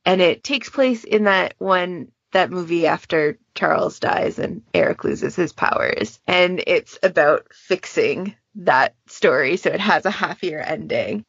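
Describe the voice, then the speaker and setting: Low-pitched, creaky-voiced woman. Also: a little slow, few pauses, no room sound. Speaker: podcast panelist. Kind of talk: casual chat, explaining recommendations. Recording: dull lo-fi audio.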